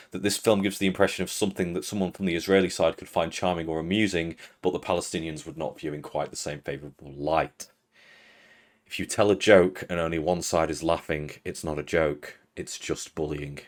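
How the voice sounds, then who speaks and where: A young British man with a British accent, reading a prepared critical commentary as the host of an online show, in a measured, dry and earnest way. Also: low voice, fairly even intonation. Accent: British English. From Britain. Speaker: video essay host.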